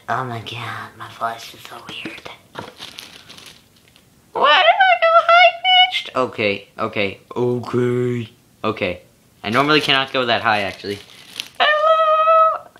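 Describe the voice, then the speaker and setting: A man's voice: medium pitch, huge pitch swings, slow, pauses often. A teenage boy vlogging from his bedroom to a webcam, chatty and self-amused, thinking aloud as he goes. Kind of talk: casual rambling vlog. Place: small bedroom, indoors. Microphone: webcam at arm's length. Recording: noisy home webcam recording.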